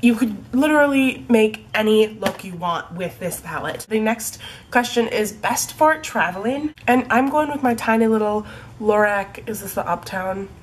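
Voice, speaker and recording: A young woman talks casually to her camera with a stuffed-up, congested voice from a cold. She rambles in a chatty way, full of likes and ums, at a middling pitch. A faint hiss sits under the home recording. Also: expressive, moderate pace. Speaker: beauty vlogger. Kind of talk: casual product review. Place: home room in fading daylight.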